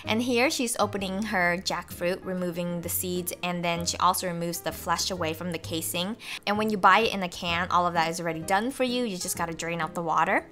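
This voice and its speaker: A woman's voice: on the low side, brisk, few pauses, fairly bright tone, soft background music. A young woman narrating a home recipe video, walking through each step cheerfully as the channel's host. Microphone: voice-over.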